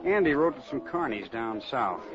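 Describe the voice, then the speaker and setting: Actor as a wry young stunt jumper, swinging pitch. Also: male voice, expressive, normal speed, lightly acted. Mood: wry, resigned. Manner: wry, slangy. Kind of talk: 1940s radio acting. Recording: dull old 1940s radio recording with music.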